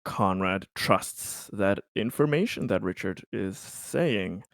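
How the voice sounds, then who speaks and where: A man with a slightly low voice talks as a player in a tabletop game. He explains his character in the third person, casually but deliberately and at some length. The sound is very dry, with no room around it. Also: lively intonation, vocal fry, no echo.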